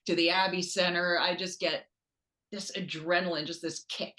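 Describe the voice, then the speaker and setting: A woman chatting casually about sports as a podcast guest, excitable and joking as she tells stories. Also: fairly low pitch, normal speed. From Canada. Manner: excitable, animated storytelling, joking.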